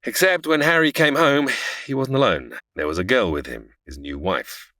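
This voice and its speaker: A man's voice: medium pitch, moderate pace, bright, forceful tone, no room sound, clean studio sound. A man playing a patient, slightly flustered angel who narrates and explains a story in a comedy audio drama. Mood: storytelling.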